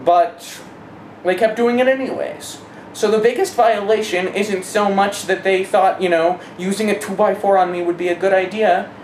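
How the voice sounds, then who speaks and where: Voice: medium pitch, steady tone, moderate pace, a little mellow. Emphatic speaker in a noisy, echoey room. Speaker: interviewee giving testimony. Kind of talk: personal testimony in an interview. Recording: echoey room, clear background noise.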